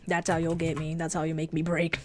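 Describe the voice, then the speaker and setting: Woman, native Japanese speaker, low voice, laid-back, blunt, casual streamer chat, faint music behind. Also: monotone, a little fast. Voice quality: fairly deep voice. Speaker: streamer and artist. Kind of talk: casual livestream chat while drawing.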